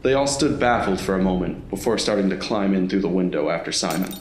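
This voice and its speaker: A man narrates a scripted story in a low voice. The recording is roomy, with clear echo around his words. He reads as the narrator of an audio drama. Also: expressive, fast talker, slight background noise.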